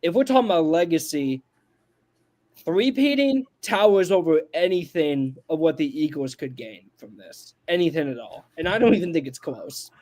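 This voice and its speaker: A man's voice: fairly high pitch, lively intonation, normal speed, darkish tone. A man in his twenties on a sports podcast, making his points emphatically and repeating them for stress. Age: 20s. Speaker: podcast panelist. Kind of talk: casual sports debate.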